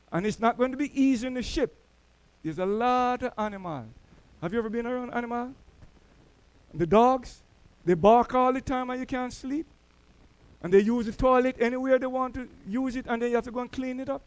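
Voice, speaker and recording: High-voiced Jamaican preacher. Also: male voice, dry recording, rough recording. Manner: storytelling preacher, swells louder on key words. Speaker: church elder. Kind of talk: sermon. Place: church.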